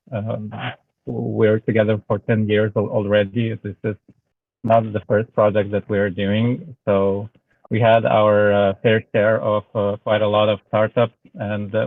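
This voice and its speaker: A man from Lithuania speaking English with a Lithuanian accent, a guest artist chatting casually, pausing often with filler sounds. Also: on the low side, no room sound. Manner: hesitant, many filler sounds. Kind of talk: casual chat. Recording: tinny phone-like online call audio.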